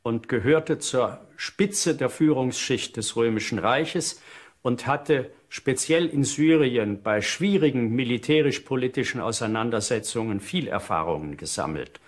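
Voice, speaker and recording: A man with a medium-pitched voice talks as a history expert. He explains things in the manner of a documentary interview. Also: expressive, a little slow.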